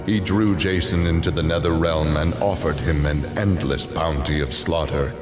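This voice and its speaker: Very deep, solemn male narrator. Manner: solemn, measured storytelling. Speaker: narrator of video game story endings. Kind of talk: scripted dramatic narration. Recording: echoing voice under loud music. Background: loud music.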